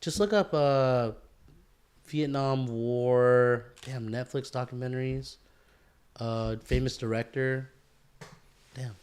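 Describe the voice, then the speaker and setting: A man's voice: mid-range voice, slow pace, long pauses, darkish tone, clear, resonant tone. A man chatting casually as a podcast co-host, using bro-ish slang and swearing.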